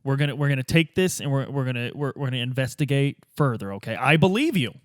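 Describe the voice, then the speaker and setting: A man's voice: mid-range voice, lively intonation, fast talker, no room sound, clean studio sound, lightly acted. A man playing an eccentric, placating police detective in a tabletop radio play, speaking in a casual, hesitant, chummy way.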